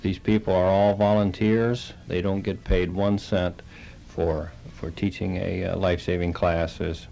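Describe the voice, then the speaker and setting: Low, gravelly man in a radio interview. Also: level tone, a little slow, warm tone, a little hiss or hum, low-quality recording. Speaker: interview guest, Red Cross chapter director. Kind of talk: radio interview, explaining programs.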